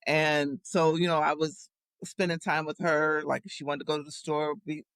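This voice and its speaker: A woman's voice: low voice, little pitch movement, normal speed, no room sound. A woman in her fifties, a podcast guest, telling reflective and amused stories in casual conversation.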